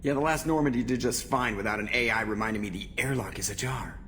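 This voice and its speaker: A man's voice: medium pitch, fast talker, a bit bright, a little hiss or hum, close to an everyday voice. A man playing a cocky, grumbly starship pilot in a video game, with a sarcastic manner. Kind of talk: video game dialogue acting.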